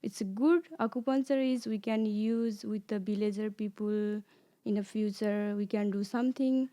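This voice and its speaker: A woman hosting an informal interview, with halting phrasing. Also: mid-range voice, steady tone, warm tone. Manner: halting non-native phrasing.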